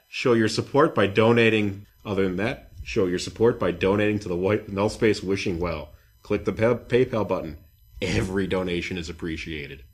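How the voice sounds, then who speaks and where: A man hosting a gaming podcast in a casual, conversational way. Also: on the low side. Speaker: podcast host.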